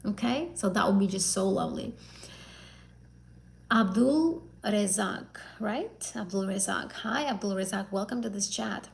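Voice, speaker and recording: A woman's voice: mid-range voice, unhurried, gravelly. A young woman from Azerbaijan, whose first language is Azerbaijani, answering viewers' questions on a live stream as an English exam tutor, chatty and often rephrasing herself. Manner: chatty, repeats and rephrases, many fillers.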